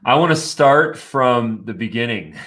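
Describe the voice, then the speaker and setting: A man's voice: mid-range voice, animated, measured pace, a bit bright. A man hosting a business podcast, upbeat and chatty, who restarts his questions as he asks them. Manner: upbeat, repeats himself when starting questions. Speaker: podcast host. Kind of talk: interviewing a guest.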